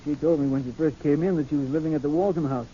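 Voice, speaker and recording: An actor playing a wounded, gullible friend who is being blackmailed, in an earnest 1940s radio drama style. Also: male voice, a little high, steady tone, rapid-fire, warm tone, lightly acted. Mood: hurt. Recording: muffled, noisy 1940s radio broadcast.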